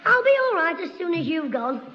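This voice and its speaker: A cheeky high-voiced schoolboy. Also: male voice, sing-song, a little fast, big-room sound. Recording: old 1960s radio broadcast, dull and hissy, with studio audience laughter.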